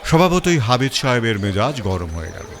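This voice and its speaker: A man's voice: medium pitch, very animated, brisk. A man narrating a first-person detective story in a storytelling style.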